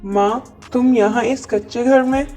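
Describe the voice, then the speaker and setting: A woman's voice: a little high, fairly even intonation, measured pace, mellow, soft background music, close to an everyday voice. A woman voicing a loving, dutiful young man in a cartoon moral story, speaking earnestly. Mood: shocked concern. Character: young adult son, loving, dutiful, dismayed by poverty.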